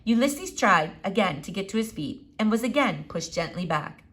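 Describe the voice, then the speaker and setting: A woman narrating a children's book aloud in an expressive storytelling style. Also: medium pitch, moderate pace. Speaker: audiobook reader.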